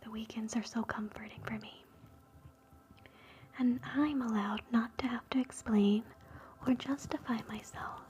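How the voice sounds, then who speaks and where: A woman whispering slow, gentle affirmations for ASMR at home, close to a sensitive mic. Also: fairly high pitch, fairly even intonation. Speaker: ASMR host. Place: home, indoors.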